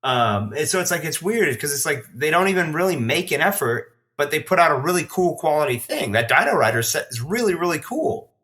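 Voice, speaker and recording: A man's voice: fairly high pitch, sing-song, a little fast, hardly any pauses, sharp tone. A man in a casual podcast chat, talking in a rambling, slangy, enthusiastic way as a collector guest.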